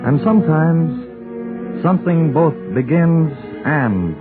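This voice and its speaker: A man announcing in a scripted 1940s radio style with dramatic, measured phrasing. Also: on the high side, animated. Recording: dull 1940s radio broadcast with music. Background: music.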